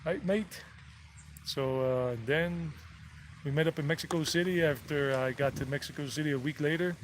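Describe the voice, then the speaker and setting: A man's voice: fairly high pitch, normal speed, a little hiss or hum. A man vlogging from outdoors on a mountain property, chatting loosely, drifting off topic and correcting himself as he goes. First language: English. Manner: loose and digressive, repeats figures, self-corrects. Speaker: travel vlogger. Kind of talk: rambling casual livestream chat.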